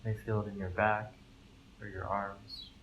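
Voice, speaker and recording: A man leading a guided meditation, giving gentle, calm instructions in measured phrases with pauses between them. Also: lowish voice, rough recording. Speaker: mindfulness fellow, meditation guide. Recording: live recording with clear background noise.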